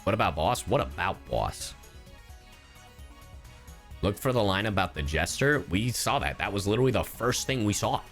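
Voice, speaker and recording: A man's voice: lowish voice, expressive, moderate pace, pauses often, a bit bright, faint music behind. A man doing casual live reaction commentary as a streamer, informal and often muttering as he thinks aloud.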